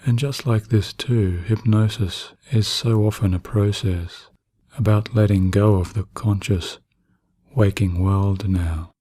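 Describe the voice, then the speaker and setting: A man narrating guided sleep hypnosis in a soothing, hushed manner with long pauses. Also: lowish voice, fairly even intonation, no room sound, studio quality.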